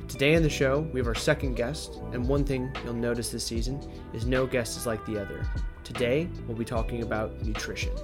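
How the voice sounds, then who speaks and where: A man's voice: mid-range voice, steady tone, a little fast, over music. A man hosting a podcast, reading a prepared intro and then asking questions in a chatty way with a few restarts. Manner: reads a scripted intro, then chats with restarts and fillers. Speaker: podcast host. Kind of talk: podcast interview.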